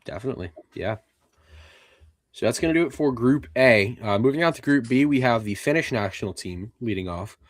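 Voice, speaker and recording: Man leading a relaxed hockey podcast. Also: medium pitch, brisk, no echo, studio quality. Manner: relaxed, reads out lists and leads the segments. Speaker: podcast host. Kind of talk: casual sports chat.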